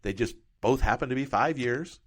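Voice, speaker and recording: A man's voice: medium pitch, normal speed. A man working as a financial advisor, explaining retirement tax rules through first-person hypothetical examples.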